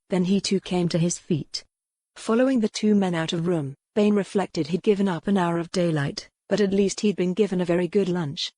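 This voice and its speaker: Low, dark-voiced woman narrating a novel. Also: dry recording. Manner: even, measured storytelling. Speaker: audiobook narrator.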